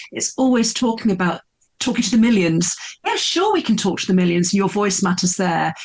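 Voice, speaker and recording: A middle-aged woman, a podcast guest telling her story in an earnest, emphatic way, often repeating words for effect. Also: medium pitch. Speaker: podcast guest, speaker and coach. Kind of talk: candid storytelling interview.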